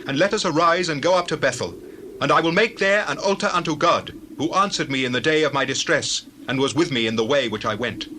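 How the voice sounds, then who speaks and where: An actor playing a grave, troubled patriarch in a dramatized scripture reading, earnest and declamatory. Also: male voice, fairly high pitch, a little fast, pushed and bright, slight background noise. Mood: grave, resolute.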